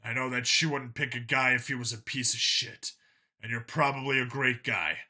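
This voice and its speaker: A man's voice: medium pitch, normal speed, bright, forceful tone. A man voicing a guarded, earnest male cop in an audiobook, speaking in a subdued, hesitant manner. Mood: subdued, pleading. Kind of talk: audiobook character dialogue.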